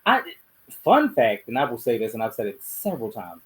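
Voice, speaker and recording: A man's voice: mid-range voice, huge pitch swings, brisk, slightly rough. A man with a Baltimore accent hosting a podcast, talking in a casual, rambling way and often correcting himself. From Baltimore County. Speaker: podcast host.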